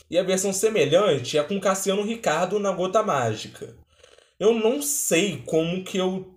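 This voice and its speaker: Brazilian male reactor, slightly high voice, echoey room, off-the-cuff and halting. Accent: Brazilian Portuguese. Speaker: reaction video host. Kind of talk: casual spontaneous commentary. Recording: roomy, echoing voice recording.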